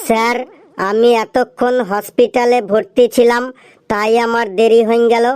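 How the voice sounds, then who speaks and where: A woman's voice: on the high side, little pitch movement, moderate pace, a little mellow, clear, resonant tone, no room sound, lightly acted. A woman voicing a cheeky, excuse-making school pupil in a comedy cartoon, with a meekly polite manner.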